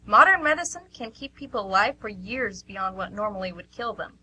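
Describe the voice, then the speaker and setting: A woman's voice: mid-range voice, animated, brisk, pushed and bright, slight background noise, poor audio. A woman playing a sceptical, questioning train passenger in an amateur radio play. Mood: sceptical, bantering.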